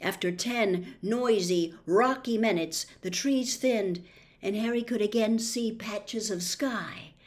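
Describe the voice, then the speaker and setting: An older woman narrating a story expressively, in a dramatic, deliberate manner. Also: mid-range voice. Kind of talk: expressive audiobook-style narration. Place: small bedroom, indoors.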